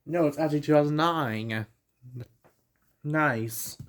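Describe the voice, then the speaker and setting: A man's voice: fairly high pitch, slow pace. A man giving casual hands-on vlog narration as a hobbyist, muttering short, offhand remarks while setting up a device.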